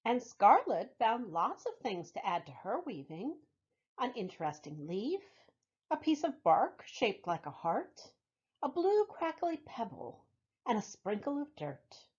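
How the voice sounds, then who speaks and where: A woman reading a picture book aloud for young children, with expressive, deliberate phrasing, as a library storytime reader. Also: fairly high pitch, breathy edge, muffled recording.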